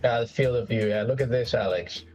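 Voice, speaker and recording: A man's voice: medium pitch, normal speed, a little mellow, highs cut off. Hyped guy on a call from home, lots of "like", music faint behind. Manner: enthusiastic, laughs easily, filler "like". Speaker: co-host on a voice call. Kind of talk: casual chat over voice call.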